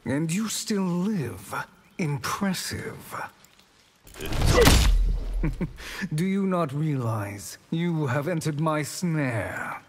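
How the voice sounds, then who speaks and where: A man's voice: on the high side, animated, very slow, long pauses, noisy background. An actor playing a villainous male sorcerer in a video game, smug, formal and condescending. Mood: smug, threatening. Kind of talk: video game character acting.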